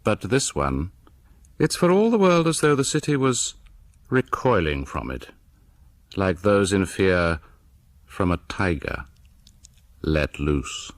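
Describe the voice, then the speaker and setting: An actor playing a sinister, courtly host of a radio horror anthology, theatrical, measured and ominous. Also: male voice, low voice, big pitch swings, dry recording, exaggerated voice. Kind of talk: dramatic horror storytelling for radio.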